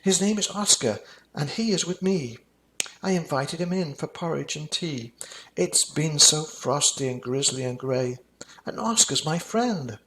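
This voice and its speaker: A man's voice: a little high, animated, a little slow, a bit bright, slight background noise, voice pushed up, poor audio. A man voicing an earnest, kind-hearted child, eager and emphatic, in a rhyming children's storybook. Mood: pleading.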